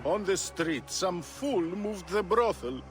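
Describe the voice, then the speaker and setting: Theatrical Greek drunkard, high voice, slow slurred grumbling, hungover wit, game drama, music behind. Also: male voice, animated. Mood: irritable. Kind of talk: video game voice acting.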